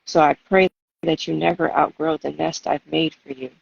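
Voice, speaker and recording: Female poet, raspy and fry-tinged, measured and expressive, on muffled audio. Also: sits low, no room sound. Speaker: poet and guest reader. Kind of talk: spoken-word poetry reading and casual chat. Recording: dull, lo-fi audio.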